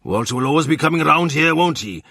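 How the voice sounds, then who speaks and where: A man reading a novel aloud, voicing a boastful, loud Welsh innkeeper who blusters and shouts. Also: fairly high pitch, expressive, fast, dry recording, clean studio sound, pitched above the performer's own voice, full character voice. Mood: angry.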